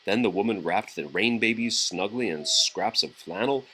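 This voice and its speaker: A man with a fairly low voice reads a picture book aloud to a small child. He narrates the story in phrases suited to a young listener, as an adult reading at home would. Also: lively intonation, normal speed, sharp tone, slight background noise.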